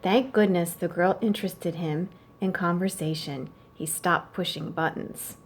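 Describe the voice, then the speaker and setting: A woman's voice: fairly low pitch, unhurried, slight background noise. A woman reading as a formal male chauffeur in a children's storybook, deferential and dryly fussy as he reports to his madam. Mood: dry exasperation.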